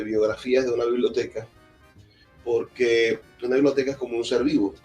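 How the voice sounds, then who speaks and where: A man interviews a guest at a public talk. His voice is flat in pitch and reflective, with light music underneath. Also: rough recording. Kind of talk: conversational interview introduction. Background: faint music.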